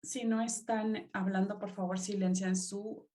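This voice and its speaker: Mellow-voiced woman interpreting a webinar. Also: mid-range voice, little pitch movement, unhurried. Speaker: simultaneous interpreter. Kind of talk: live interpretation of a webinar welcome. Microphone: computer mic on a video call.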